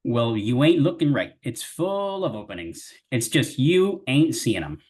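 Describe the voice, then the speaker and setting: A man voicing a friendly, chatty little male worm with homely, rough colloquial speech. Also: medium pitch, very animated, measured pace, a little mellow. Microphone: video call.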